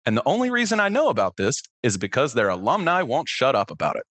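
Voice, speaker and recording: A man's voice: mid-range voice, wildly swooping pitch, fast, fairly bright tone, no room sound. A man hosting a radio sports segment, reading scripted satirical headlines in a mock-enthusiastic announcer delivery.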